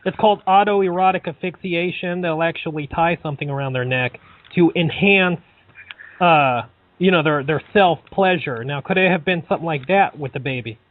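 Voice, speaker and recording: Deadpan man stammering with feigned earnestness. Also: on the high side, moderate pace, narrow, tinny audio. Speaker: prank caller. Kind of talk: deadpan prank phone call.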